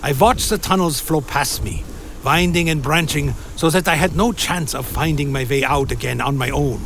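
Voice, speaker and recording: Creaky-voiced vampire narrator. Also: male voice, fairly high pitch, moderate pace, fairly bright tone, slight background noise. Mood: grim, recounting. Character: anxious vampire recounting his own dark tale. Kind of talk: first-person dramatic narration, radio play.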